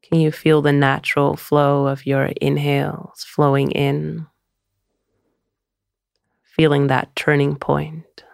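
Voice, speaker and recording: A woman guiding a meditation in a soothing, gentle, unhurried way, often trailing into a breathy near-whisper. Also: sits low, no echo, studio quality. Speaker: meditation guide. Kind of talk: guided meditation.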